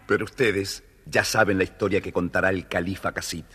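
A man's voice: sits low, steady tone, brisk, very noisy. A man narrating a dramatised children's story, expressively addressing his young listeners.

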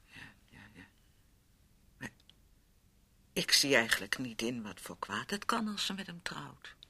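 A woman's voice: extremely low pitch, big pitch swings, a little slow, bright, forceful tone, husky, heavily acted. An actress in a radio play voicing a polite, trusting, mildly worried mother who replies briefly and hesitantly.

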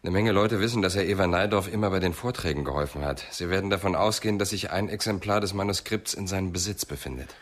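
An actor playing a dry, persistent police inspector in a radio crime drama, questioning with sceptical insistence. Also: male voice, low voice, quick pace, hardly any pauses, lightly acted. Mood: matter-of-fact.

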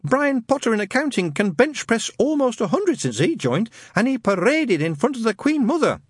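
Man doing a squeaky, stammering nervous young man. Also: very animated, normal speed, breathy edge, no echo, clean studio sound, heavily acted. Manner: hesitant, stuttering.

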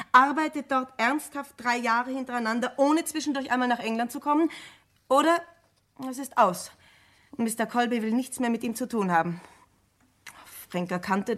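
A woman playing a composed, dutiful secretary in a radio crime play, speaking in a precise, measured, formal way. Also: a little high, a bit bright, natural voice. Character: composed, dutiful female secretary testifying to police. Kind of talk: German radio crime drama acting.

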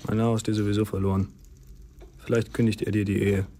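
Low, flat, blunt farmer acted by a man. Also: quick pace, faint music behind, natural voice. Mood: blunt, bitter. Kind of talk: radio drama acting.

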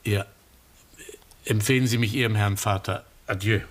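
Very low, husky, guarded doctor played by a man. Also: fairly even intonation, moderate pace, close to an everyday voice. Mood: resigned, guarded. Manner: restrained, evasive, formal. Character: resigned, guarded doctor, friend of the family. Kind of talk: German radio drama acting.

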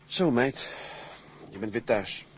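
A man's voice: medium pitch, expressive, slow pace, slight background noise. A man playing an anxious young sailor in a Dutch radio drama, speaking hesitantly and repeating himself. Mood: worried. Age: young adult. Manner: hesitant, stammering repetitions. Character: anxious young sailor studying for officer's exam.

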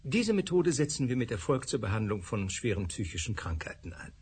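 A man's voice: mid-range voice, sing-song, fairly quick, a little mellow, a little hiss or hum, close to an everyday voice. An actor playing a self-assured male research doctor who speaks lightly and a little dismissively. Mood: breezy. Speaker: scientist. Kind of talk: radio drama acting.

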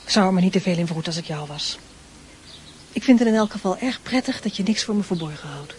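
A woman playing a gentle, sensible middle-aged mother of a teenage boy in a radio drama, calm and advising. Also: fairly low pitch, quick pace, very noisy, lightly acted. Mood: gently concerned.

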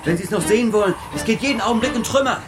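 An actor playing an excitable townsman in a radio play, urgent and theatrical. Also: high voice, very fast, loud music behind the voice, lightly acted. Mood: alarmed, urgent. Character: excitable male townsman bringing news of shipwreck. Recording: radio drama with prominent musical underscore.

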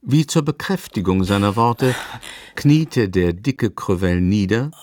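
A man's voice: fairly low pitch, lively intonation, a little slow, a little mellow. A man narrating a literary radio drama as a scripted storyteller.

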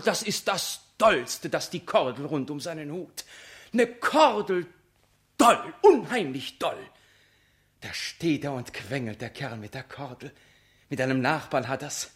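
An actor as a theatrical, wildly swooping excitable onlooker. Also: medium pitch, close to an everyday voice. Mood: excited wonder. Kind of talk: radio play interior monologue.

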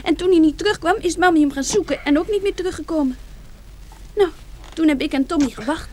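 A woman's voice: very high-pitched, fast, darkish tone. A woman acting in a Dutch radio drama as a brave, frank nine-year-old girl, childlike and artless. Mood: matter-of-fact, subdued. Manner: childlike, artless, matter-of-fact.

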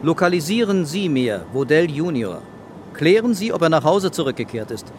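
Insistent commissioner, lighter-pitched, formal, measured, clear traffic noise, radio drama. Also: male voice, sing-song. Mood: firm. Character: brisk, insistent male police commissioner giving orders.

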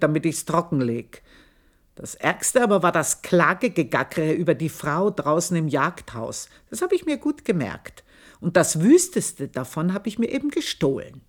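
An actress playing an older housemaid who tells her own story in a radio play, speaking in an intense, confiding and scornful way. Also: lowish voice. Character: aging housemaid, bitter, proud, long in service.

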